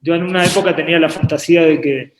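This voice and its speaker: A man from Uruguay raised in Argentina, talking about his work as a painter on a video call, often pausing and repeating words as he thinks. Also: fairly high pitch, fairly even intonation, slight background noise. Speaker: painter and artist guest. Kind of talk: relaxed interview conversation. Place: home painting studio. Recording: echoey room on a video call.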